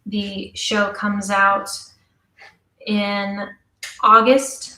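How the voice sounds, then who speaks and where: A woman's voice: medium pitch, level tone, very slow, sharp tone. Woman in an echoey room, chatting casually. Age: young adult. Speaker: interview guest, audio drama writer and producer. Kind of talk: casual interview talk. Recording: roomy, echoey sound.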